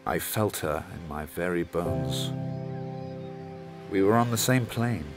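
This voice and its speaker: A man's voice: low voice, slow pace. An actor playing a devoted male ship passenger, telling his story in an intimate, lyrical first-person monologue for an audio drama. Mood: dreamy, tender. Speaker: narrator-protagonist of an audio play. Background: loud music score.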